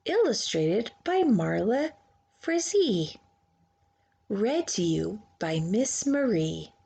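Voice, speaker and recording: A woman reading a children's storybook aloud expressively, with theatrical pauses and hushed emphasis. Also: mid-range voice, sing-song, gravelly, husky. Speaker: children's book reader.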